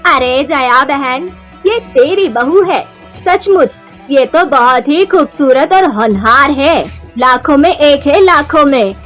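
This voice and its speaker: Woman acting chatty high-voiced society lady. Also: animated, slow pace, a bit bright, soft background music, exaggerated voice. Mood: admiring. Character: chatty, insistent middle-aged society lady friend. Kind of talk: melodramatic moral-story cartoon acting.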